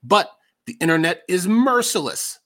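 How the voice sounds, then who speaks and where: A man's voice: high-pitched, very animated, normal speed, fairly bright tone. A man with an American accent giving casual, opinionated political commentary as a podcast host, conversational and emphatic, repeating himself for effect.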